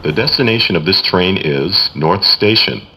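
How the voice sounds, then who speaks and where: A man's voice: low voice, animated, normal speed, bright, forceful tone. A man reading automated station announcements in short, formal, clipped set phrases, as a transit announcer. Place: inside a subway train, over speakers. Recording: echoey, noisy subway car recording.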